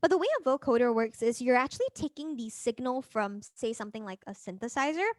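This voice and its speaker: A woman presenting a music production workshop as an informal software demonstration, chatting and thinking aloud as she works. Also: a little high, animated, fast, dry recording, studio quality.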